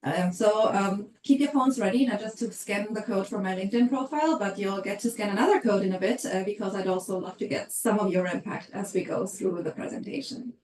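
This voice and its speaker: A woman's voice: mid-range voice, fairly quick, hardly any pauses, darkish tone, far from the mic. German-accented woman presenting in a roomy space. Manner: conversational with frequent fillers. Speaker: HR consultant presenting a webinar. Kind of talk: webinar presentation.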